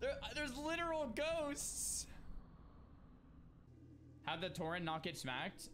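A man's voice: very high-pitched, slow pace, a little hiss or hum. A young man streaming a video game from a small room on a headset mic, puzzled and then thrilled as he talks through what is happening. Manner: excitable, puzzled, exclaiming. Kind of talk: live gameplay commentary.

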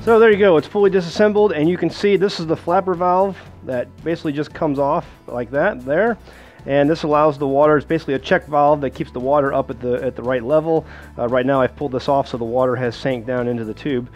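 A man's voice: fairly high pitch, quick pace, darkish tone, soft background music. A man giving a casual how-to demonstration in a plain-spoken, reassuring, repetitive way. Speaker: homesteading host demonstrating a pump. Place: home kitchen.

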